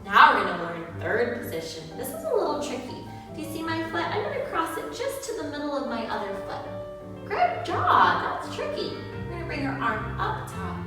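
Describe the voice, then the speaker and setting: A woman presenting a storytime and ballet lesson for preschoolers in an encouraging, sing-song, highly expressive way. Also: far from the mic. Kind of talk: read-aloud and lesson for preschoolers. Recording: echoey hall, music behind.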